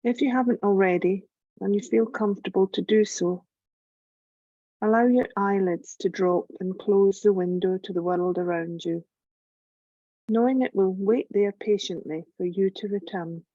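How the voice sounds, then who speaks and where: A woman leading a guided yoga nidra meditation in a gentle, calm, measured voice with long pauses. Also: mid-range voice, no room sound, highs cut off. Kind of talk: slow guided relaxation meditation narration.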